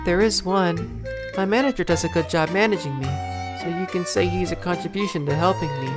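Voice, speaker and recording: A woman's voice: on the low side, brisk, music in the background, natural voice. A woman playing a shy, self-doubting young model in a fan audio drama, hesitant and stammering. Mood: self-doubting, wistful. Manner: hesitant, soft-spoken, stammering.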